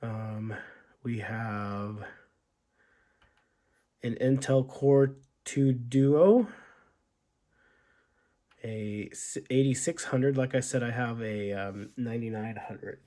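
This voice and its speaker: A man with a hoarse voice from being sick, casually thinking aloud with many pauses and fillers as he walks through an old laptop's setup and specs. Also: medium pitch, warm tone. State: hoarse from illness. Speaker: tech video host. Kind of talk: casual unboxing walkthrough.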